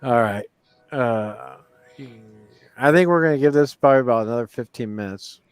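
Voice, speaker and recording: A man's voice: mid-range voice, moderate pace, smooth, no room sound, faint music behind. A man chatting casually on a live stream, tipsy and rambling, joking at his own expense. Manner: rambling, tipsy, self-mocking. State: drunk. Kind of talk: casual chat.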